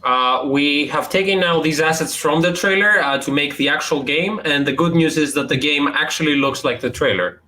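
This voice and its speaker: A young man from Greece walks through the indie game he helped make. He speaks hesitantly, filling pauses with small sounds as he explains animation and story. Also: fairly high pitch, sharp tone. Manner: hesitant, many uh fillers. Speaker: game developer. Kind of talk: presenting his indie game.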